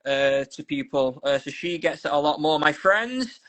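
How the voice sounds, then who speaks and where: A man's voice: a little high, animated, normal speed, a bit bright. A man from South Manchester with a Manchester accent, chatting as a volunteer guest on a video call, rambling with restarts and asides. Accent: Manchester English. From South Manchester, England. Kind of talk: casual interview chat. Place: Ukraine.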